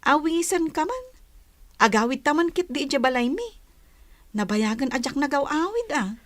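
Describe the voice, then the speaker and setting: Plucky young countrywoman, very high voice, Filipino accent, sharp tongue acted by a woman for comedy drama. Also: very animated, moderate pace, no echo. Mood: friendly.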